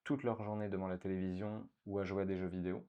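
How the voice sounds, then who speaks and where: A man's voice: sits low, level tone, fast talker, warm tone, clean tone, dull audio. A man in his thirties from France, a guest in a casual interview, talking with many fillers and restarts.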